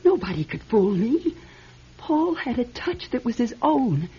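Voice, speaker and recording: A woman's voice: a little high, sing-song, unhurried, warm tone, breathy edge, heavily acted. A woman playing a stubborn, distraught middle-aged widow in a classic radio drama, with a fragile, hesitant, emotional delivery. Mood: defiant, wistful. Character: distraught, stubborn grieving widow of a famous pianist. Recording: muffled vintage radio, music behind.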